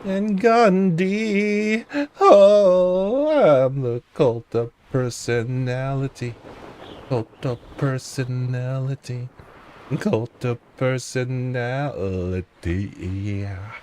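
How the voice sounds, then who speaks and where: A man's voice: mid-range voice, drawn-out words, a little mellow, a little hiss or hum. A man streaming a video game, casually commenting, joking to himself and singing or humming along. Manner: goofy, sings and hums along, talks to himself.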